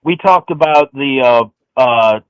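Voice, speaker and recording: A man's voice: medium pitch, lively intonation, a little slow, smooth, no echo, highs cut off. A man from the American Midwest calling a political talk show by phone, giving casual, opinionated commentary. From St. Louis, United States. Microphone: phone call.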